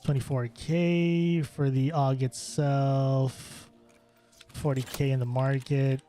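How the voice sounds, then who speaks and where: A man's voice: medium pitch, flat delivery, slow, mellow. Rambling young man streaming a game. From Philippines. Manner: rambling, answers chat questions with many fillers and restarts. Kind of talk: casual gameplay stream chat. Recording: faint music behind voice.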